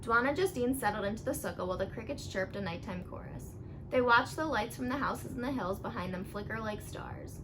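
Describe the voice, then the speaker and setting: Higher-voiced woman reading a storybook, noisy recording. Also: fairly quick. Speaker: storybook reader. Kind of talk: expressive read-aloud of a children's picture book. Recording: clearly noisy recording with background ambience.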